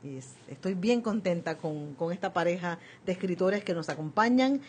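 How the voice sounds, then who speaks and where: A woman's voice: lowish voice, expressive, moderate pace, a little mellow. A woman hosting a radio program and interviewing in a conversational style. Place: small radio studio, indoors. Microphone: studio microphone on boom arm. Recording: clear background noise, live webcast.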